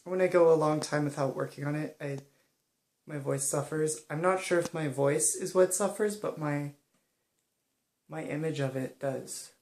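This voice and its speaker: A young woman speaking softly and hesitantly in a personal monologue, pausing often. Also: deep voice, roomy sound. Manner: soft-spoken and hesitant, many pauses and ums. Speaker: vlogger.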